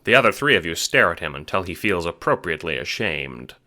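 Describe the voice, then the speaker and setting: A man narrates an audio drama in a very low, bright and forceful voice. The delivery is scripted storytelling, addressed to the listener as the main character. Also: sing-song, fairly quick.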